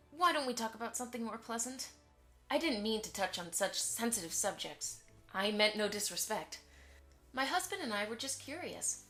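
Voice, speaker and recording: A woman's voice: a little high, bright, forceful tone. A woman playing a polite, chatty pony mother who keeps the peace in an audio drama. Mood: placating.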